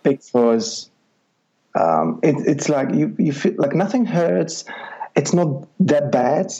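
A man's voice: fairly high pitch, animated, takes it slowly, warm tone, husky. A man from the Republic, a life coach talking as a podcast guest over an online call, hesitant with many ums. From Czech Republic. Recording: dull lo-fi online call audio.

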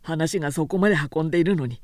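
A stubborn young craftsman speaks in a lowered, curt voice, as a woman puts him on. The voice is mellow and dry. His manner is gruff and blunt. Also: quick pace, no echo, natural voice. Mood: stern.

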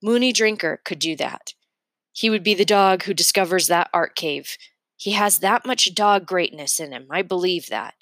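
A woman reading a children's novel aloud in an expressive, first-person storybook style. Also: lowish voice, normal speed, no echo, studio quality.

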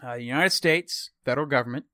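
A man's voice: mid-range voice, quick pace, sharp tone, no echo, studio quality. A man with a slight Texan accent, casually improvising a fictional world's history as a show's host, rambling and correcting himself as he goes.

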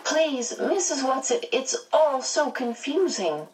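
A woman's voice: sits high, very animated, takes it slowly, distant mic, voice pushed up, exaggerated voice, low-quality recording. A woman voicing an anxious young girl in a storybook, worried and pleading. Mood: plaintive.

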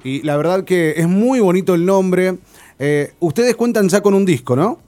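A man's voice: sits high, moderate pace. Male radio host. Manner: relaxed, filler-heavy questioning with many "eh" and "ujú". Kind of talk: radio interview.

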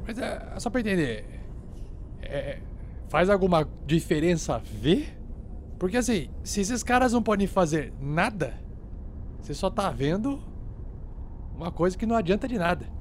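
A man with a high voice asks doubtful questions at a tabletop game. A faint hiss runs under his voice. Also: expressive, normal speed, long pauses. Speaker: player.

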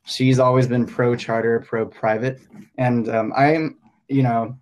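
A man's voice: medium pitch, little pitch movement, unhurried, a little mellow, a little hiss or hum, rough recording. A young man from Hong Kong, a teacher and podcast guest, talking casually and hesitantly, thinking aloud with many fillers.

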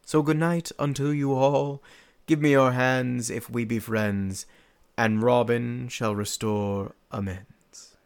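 A man's voice: medium pitch, fairly even intonation, vocal fry, clean tone. A man playing a mischievous fairy sprite, eerie and coaxing, in theatrical Shakespearean verse for a radio play. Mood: coaxing, confiding. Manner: theatrical, deliberate, dramatic swings.

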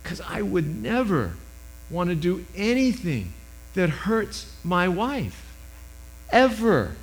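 A man in his sixties with a loud voice, preaching a sermon into a microphone with emphatic swings between loud and hushed. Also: high-pitched, sing-song, slow, poor audio.

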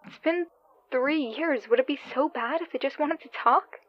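Woman acting pleading younger sister over the phone, high pitched, a bit lo-fi, radio drama. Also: normal speed. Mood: pleading, defensive. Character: earnest, exasperated younger sister acting as family messenger. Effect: phone call filter. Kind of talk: naturalistic audio drama acting. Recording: dull, band-limited phone call sound.

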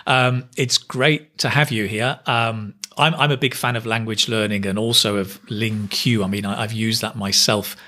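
A man's voice: lowish voice, fairly even intonation, normal speed, hardly any pauses, pushed and bright. A man hosting an interview, a teacher who talks in a friendly way and often restarts his words. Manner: hesitant, repeats words, friendly. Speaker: interview host and English exam teacher. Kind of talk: interview conversation.

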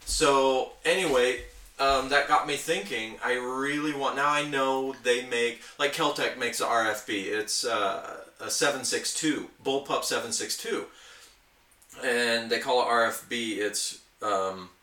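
A man's voice: medium pitch, a little slow, bright, forceful tone. A man chatting casually as a podcast host, explaining his hobby with nerdy enthusiasm. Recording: roomy, echoey, distant from mic.

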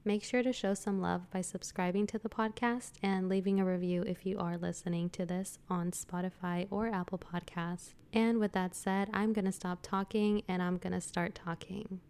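A woman hosting her own reflective podcast, congested from a cold and speaking slowly and gently as she reads her own poetry. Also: medium pitch, steady tone, clear, resonant tone. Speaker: podcast host.

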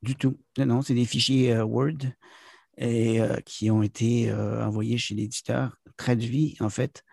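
A man with a Québécois French accent talks through an interview in a gravelly, husky voice. His tone is dark and mellow, the sound dry and close. He hesitates often, restarting phrases and filling gaps with "euh". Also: mid-range voice, little pitch movement, measured pace, no room sound. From Quebec. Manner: hesitant, many fillers and restarts. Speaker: guest, trainer and author. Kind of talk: casual interview talk.

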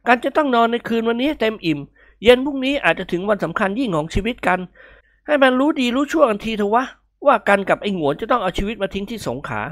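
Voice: medium pitch, expressive, quick pace, a bit bright, dry recording, clean studio sound, voice pushed up. A reader voicing a cheeky young man who jokes and brags in an audiobook. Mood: boastful. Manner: teasing, bragging banter. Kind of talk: audiobook character voice.

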